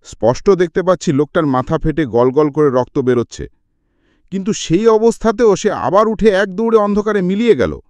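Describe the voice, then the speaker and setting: A man giving an expressive scripted narration of a story as an audiobook reader. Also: sits high, a little fast, dry recording.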